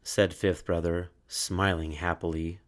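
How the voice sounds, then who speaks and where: Low voiced guy reading a kids storybook out loud, slow and expressive. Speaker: storybook narrator. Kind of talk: scripted storytelling, children's read-aloud.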